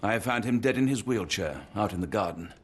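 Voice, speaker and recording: An actor playing a loyal, principled Victorian doctor in a video game, British, earnest and formally articulate. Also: male voice, fairly low pitch, lively intonation, a little fast, breathy edge. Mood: grave, sincere. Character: loyal, principled Victorian male doctor, steadfast companion to detective. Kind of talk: video game cutscene acting. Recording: music behind the voice.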